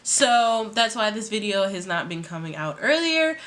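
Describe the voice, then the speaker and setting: A young woman vlogger with a raspy voice and a sore throat, chatting casually through a makeup tutorial. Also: medium pitch, moderate pace, a little hiss or hum. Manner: chatty, rambling, relaxed. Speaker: beauty vlogger.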